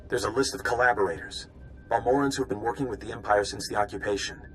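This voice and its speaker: An actor playing an anxious, conscientious undercover informant in a video game, hesitant and deferential. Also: male voice, lowish voice, quick pace, fairly bright tone, faint music behind, natural voice, poor audio. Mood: worried.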